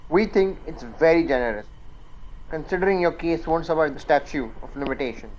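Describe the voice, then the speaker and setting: A condescending corporate attorney played by a student talks down to opponents in a radio play. The voice is mid-pitched, measured and sardonic. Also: a little mellow, slight background noise, natural voice, poor audio. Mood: mocking, confident. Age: young adult. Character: smug, condescending male corporate lawyer. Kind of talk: student radio drama acting.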